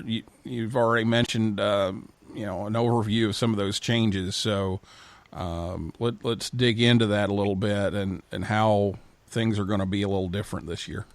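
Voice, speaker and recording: A man's voice: lowish voice, fairly bright tone, dry recording. A man hosting a live talk show, interviewing guests in a conversational way. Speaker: host.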